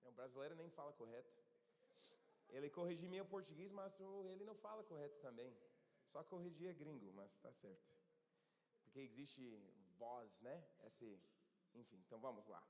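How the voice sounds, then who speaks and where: A man preaching a sermon in a church and reading scripture aloud, often pausing to search for words and correct himself. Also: a little high, lively intonation, darkish tone. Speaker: pastor. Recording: long church hall reverb.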